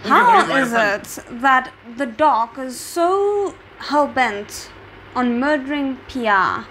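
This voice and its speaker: Female reaction host, higher-pitched voice, off-the-cuff commentary on a show, noticeably noisy recording. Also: animated, slow pace. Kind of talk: spontaneous reaction commentary on a drama series. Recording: clearly noisy background.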